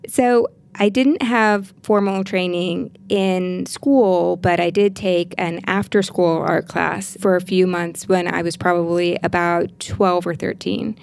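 An American woman with a lowish voice and clear vocal fry speaks as an interview guest. She tells personal stories in a reflective, conversational way. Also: a little mellow. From Kansas, United States. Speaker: interview guest, art teacher.

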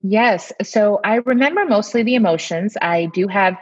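A woman from India co-hosting a casual podcast, speaking in a measured way with frequent ums. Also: mid-range voice, breathy edge, no room sound, lo-fi sound. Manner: warm, measured, many ums. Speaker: pediatrician podcast co-host. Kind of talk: casual conversational chat.

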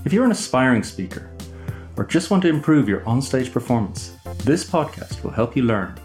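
A man hosting a podcast, reading a scripted introduction and then chatting casually with a guest, measured and hesitant with fillers. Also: mid-range voice, sing-song, a little mellow. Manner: measured, with fillers and restarts when unscripted. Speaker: podcast host. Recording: roomy sound with faint music behind.

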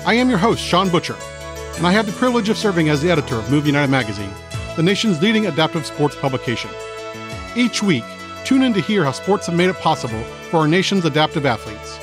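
An American man hosting an interview podcast, reading a scripted intro and then asking questions with many pauses and restarts. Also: a little high, very animated, music in the background. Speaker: podcast host.

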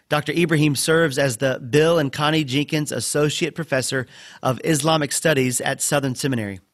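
A man hosting a podcast interview, introducing his guest and asking questions in a warm, friendly way. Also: medium pitch, no echo, studio quality. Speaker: podcast host.

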